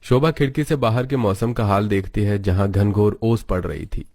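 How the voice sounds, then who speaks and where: Low-pitched man narrating a cartoon story. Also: steady tone, normal speed, mellow.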